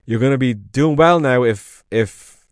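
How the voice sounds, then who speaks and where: A man's voice: medium pitch, measured pace, clean tone, no echo, clean studio sound. An Irish man co-hosting a podcast, chatting casually and enthusiastically, rambling and correcting himself as he goes. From Ireland. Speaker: podcast co-host. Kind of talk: casual chat.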